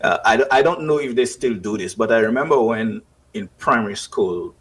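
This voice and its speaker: A man's voice: medium pitch, animated, a little fast, husky. A man with a Dominican accent and a Dominican upbringing, speaking earnestly on a radio talk show as a writer and festival organizer. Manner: earnest, reflective, with restarts. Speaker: writer, festival committee member. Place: radio studio or remote call.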